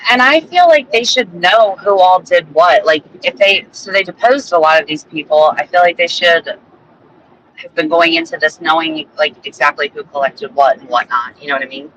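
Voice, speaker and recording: A man co-hosting a relaxed live chat, talking loosely and hedging his points. His voice is high and bright, with a faint hum of noise in the background. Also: normal speed, muffled recording. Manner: casual, hedging, says "like" a lot. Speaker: co-host commenting on trial.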